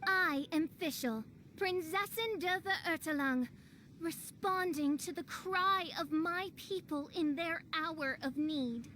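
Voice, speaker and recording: A grandiose young gothic princess from a video game, speaking slowly in a high voice. She is haughty and theatrical, declaiming every phrase, over faint noise. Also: bright, forceful tone, heavily acted. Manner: pompous, archaic, declamatory, with German words. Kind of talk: video game character acting.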